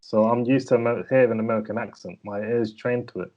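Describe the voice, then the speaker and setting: A man's voice: medium pitch, steady tone, quick pace, darkish tone, highs cut off. A man hosting a casual podcast, easygoing and agreeable. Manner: easygoing, brief agreeing replies. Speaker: podcast host. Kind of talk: casual chat.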